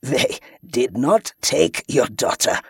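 A man voicing a wounded, mocking male pirate prisoner who speaks slowly with a chuckle and a leer. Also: mid-range voice, sing-song, creaky, breathy edge, no room sound, clean studio sound, exaggerated voice. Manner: chuckling, slow, leering.

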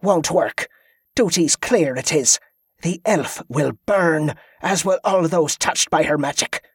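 A man's voice: on the high side, slow pace, slightly rough, dry recording, clean studio sound, full character voice. A man voicing a stern, ruthless woman military commander who talks in cold, clipped threats. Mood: menacing.

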